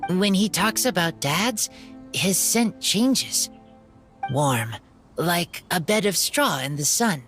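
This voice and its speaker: A woman voicing an earnest, solemn feral teenage boy in a video game, speaking in halting simple sentences. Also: fairly low pitch, lively intonation, fairly bright tone. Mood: pensive. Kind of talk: English video game voice acting. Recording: music behind the voice.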